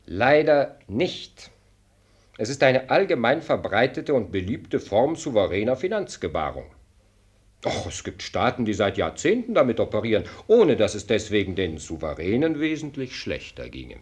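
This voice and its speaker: An actor playing a dry, blunt minister of state in a German radio play, speaking formally and pointedly with an ironic, lecturing air. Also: male voice, lowish voice, sing-song, moderate pace, husky. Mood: sardonic.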